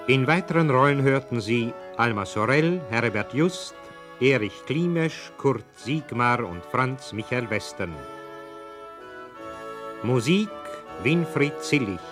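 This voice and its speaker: A man's voice: medium pitch, expressive, slow. A man with standard German speech reading formal scripted cast credits as a radio announcer. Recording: announcement over prominent music.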